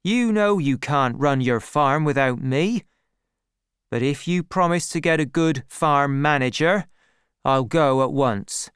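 A reader voicing an earnest, worried farmer, a lovesick man who speaks in a measured, pleading way. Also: mid-range voice, expressive, a bit bright, smooth, no echo, clean studio sound. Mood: indignant.

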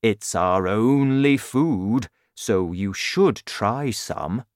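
A man performs a gentle storybook giant who grumbles with great earnestness. He speaks very slowly and emphatically, swinging his pitch in a lively way. The sound is dry. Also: no echo. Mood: emphatic, kindly. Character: kind-hearted giant who hates his vegetable food.

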